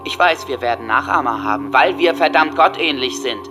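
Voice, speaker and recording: An actor playing a hateful, megalomaniac teenage school shooter with intense, seething delivery in a documentary radio drama. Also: male voice, medium pitch, animated, brisk, music in the background, lightly acted. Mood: seething rage.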